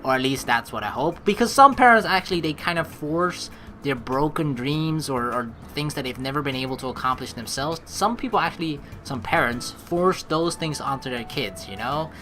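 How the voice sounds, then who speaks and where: A woman's voice: extremely low pitch, animated, normal speed, bright, forceful tone, soft background music. A young adult woman giving casual let's play commentary in a rambling, conversational way. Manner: rambling, conversational, peppered with "you know". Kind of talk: casual game commentary mixed with reading dialogue aloud.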